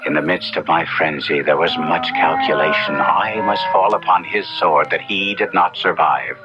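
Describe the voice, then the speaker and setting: An actor playing a passionate, tormented young nobleman in an old-time radio drama, speaking with theatrical, archaic diction. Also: low voice. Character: passionate, tormented young Italian nobleman recounting his horror tale. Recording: muffled 1970s radio drama with music.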